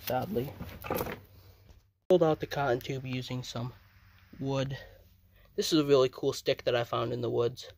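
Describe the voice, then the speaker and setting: A man's voice: medium pitch, gravelly. A young man casually narrating his own home video as a vlogger. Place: indoors in a room at home. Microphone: handheld phone behind the camera.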